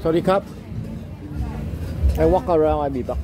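A man's voice: a little high, moderate pace, background noise. A man giving casual walk-along travel vlog narration in clipped, simple phrases, outdoors on a busy street. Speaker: travel vlogger. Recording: loud music behind, outdoor street. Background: loud music, passing vehicles.

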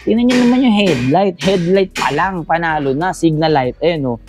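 A man's voice: fairly high pitch, expressive, normal speed. A man with a Filipino accent, hosting a casual vlog in an e-bike warehouse and eagerly hyping the bikes and deals to his viewers. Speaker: vlogger. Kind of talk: casual product showcase vlog.

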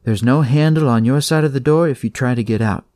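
Guy doing a friendly lady night nurse in a horror story, pitched up, reassuring. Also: a little fast, a little mellow, no echo, studio quality, natural voice. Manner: familiar, reassuring.

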